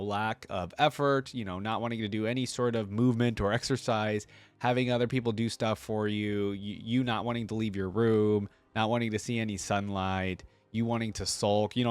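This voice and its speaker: A man's voice: lowish voice, clean tone, dry recording. A man giving casual reaction commentary as a video host, rambling conversationally and often checking in with the listener.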